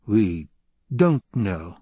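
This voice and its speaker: A man's voice: lowish voice, very animated, extremely slow, dark tone, breathy edge, no echo, heavily acted. A man voicing a weary, bitter military commander in an audiobook, terse, sour and guarded. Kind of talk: audiobook character dialogue.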